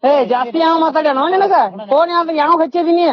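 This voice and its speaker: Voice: extremely high pitch, quick pace, no echo. A combative, foul-mouthed person, scolding and arguing heatedly over the phone. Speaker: prank call recipient. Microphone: phone call. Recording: dull muffled phone call recording.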